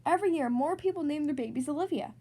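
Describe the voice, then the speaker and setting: Woman as a reassuring, high-voiced storybook dad. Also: expressive, fast talker, dark tone. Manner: reassuring, playful. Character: kindly, reassuring, joking father in a storybook.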